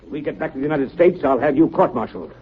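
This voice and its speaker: An actor playing a stern, authoritative navy captain in a 1930s radio drama, speaking formally and with command. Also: male voice, fairly high pitch, expressive, very fast, husky. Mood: angry, commanding. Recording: noisy, tinny 1930s radio broadcast.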